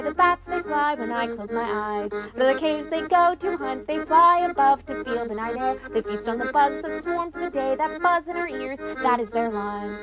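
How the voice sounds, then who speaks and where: A woman singing a gentle, repetitive children's song with musical accompaniment. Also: high-pitched. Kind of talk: slow children's lullaby-like song. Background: loud musical accompaniment.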